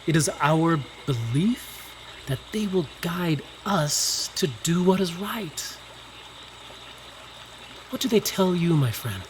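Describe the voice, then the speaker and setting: A man playing a calm, devout knight with an earnest, measured manner in a fantasy audio drama. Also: fairly high pitch, a little hiss or hum.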